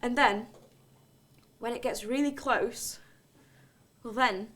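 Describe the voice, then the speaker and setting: A woman's voice: a little high, takes it slowly, a bit bright. A woman playing a volatile, defensive character in a dramatic monologue, blunt and colloquial. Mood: hushed, wry. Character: volatile, defensive, sweary woman recounting her outburst. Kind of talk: dramatic monologue, conversational storytelling.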